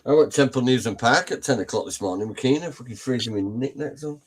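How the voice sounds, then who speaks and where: A man's voice: medium pitch, fast talker, a little hiss or hum. A man with a Northern English accent, chatting casually in a blunt, grumbling way. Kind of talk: casual chat, anecdotes.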